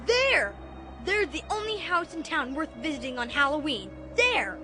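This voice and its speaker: A woman playing an eager, excitable young boy in a dramatized audiobook, exclaiming to his gang. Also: sits high, moderate pace, faint music behind, exaggerated voice. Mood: excited. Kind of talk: dramatized audiobook radio play.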